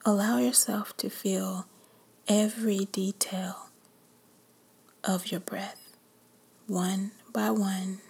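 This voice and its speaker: A woman whispering softly as she leads a guided meditation. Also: medium pitch, level tone, vocal fry, husky. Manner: whispered, slow and soothing.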